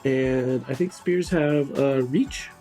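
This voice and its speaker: A man's voice: mid-range voice, takes it slowly, dark tone, soft background music. A man chatting casually as a player in a tabletop role-playing game.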